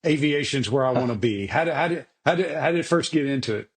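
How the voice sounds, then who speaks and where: A man's voice: medium pitch, lively intonation, fast talker. A middle-aged man hosting an aviation podcast, folksy and enthusiastic, with many restarts. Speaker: podcast host. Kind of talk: casual interview chat.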